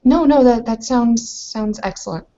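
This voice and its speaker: A woman's voice: a little high, a little slow, dark tone, pitched above the performer's own voice. A woman voicing an awkward, unworldly bride who speaks tentatively in a tabletop roleplaying game. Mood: hesitant. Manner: hesitant, tentative. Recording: dull muffled lo-fi audio.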